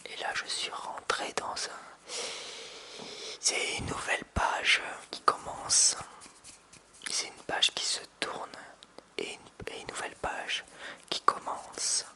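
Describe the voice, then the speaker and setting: A man in his thirties whispering softly into a close binaural microphone for ASMR, with hesitant restarts. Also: takes it slowly, pauses often. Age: 30s. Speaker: ASMR creator. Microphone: close binaural mic with hand sounds.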